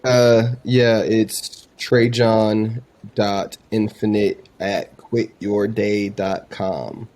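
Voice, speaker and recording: Casual man with a lowish voice. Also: flat delivery, extremely slow, a little mellow. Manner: informal, conversational. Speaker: presenter demonstrating a voice AI. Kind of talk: casual chat, explaining a demo.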